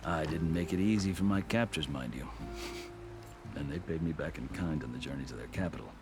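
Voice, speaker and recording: An actor playing a proud, weathered captive soldier and former high commander in a video game, speaking formally and with measured, theatrical eloquence. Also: male voice. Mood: wry, solemn. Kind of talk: video game cutscene acting. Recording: music behind the voice.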